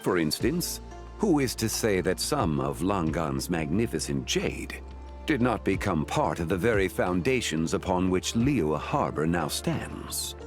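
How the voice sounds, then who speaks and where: Deep, grave ancient god. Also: male voice, sing-song, faint music behind. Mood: calm, instructive. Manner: measured, formal, lecturing. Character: ancient male earth god, composed, grave, scholarly. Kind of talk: scripted video game dialogue.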